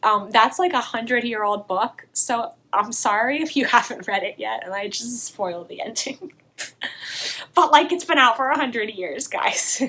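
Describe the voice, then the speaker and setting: A young woman, a little hoarse, chatting eagerly about books as a vlogger. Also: mid-range voice, bright, forceful tone. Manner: chatty, enthusiastic, quick asides. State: slightly hoarse. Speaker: book vlogger. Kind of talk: casual book haul vlog.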